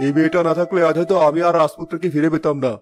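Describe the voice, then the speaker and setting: A man voicing a firm, reasonable fatherly figure in an animated fairy tale. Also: fairly high pitch, rapid-fire, natural voice. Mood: firm, explaining. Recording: music behind the voice. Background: background music.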